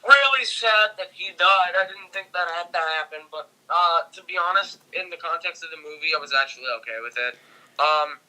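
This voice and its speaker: Very bright, sharp-voiced man chatting casually. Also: a little high, a little fast, poor audio. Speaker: guest co-reviewer. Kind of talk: casual chat, film review.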